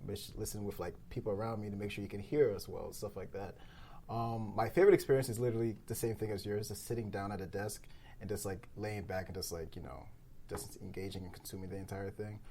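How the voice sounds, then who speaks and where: A man's voice: lowish voice, steady tone, fast talker, dark tone, a little hiss or hum. A man who co-produces a podcast, chatting casually at a round table in informal, slangy language with frequent chuckles.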